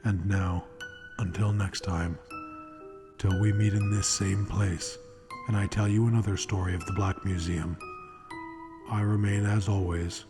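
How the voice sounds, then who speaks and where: An actor playing a grave, theatrical true-crime storyteller host, narrating old-time radio mystery with solemn, deliberate dramatic pauses. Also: male voice, low-pitched, flat delivery. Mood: solemn, ominous. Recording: music behind the voice.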